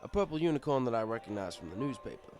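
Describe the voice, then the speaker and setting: A man's voice: medium pitch, big pitch swings, a little mellow. Man as wry fatherly pony bartender, music behind. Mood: wry, settled. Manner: wry, conversational, unhurried. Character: easygoing fatherly male pony bartender telling his tale. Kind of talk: first-person audiobook narration in character.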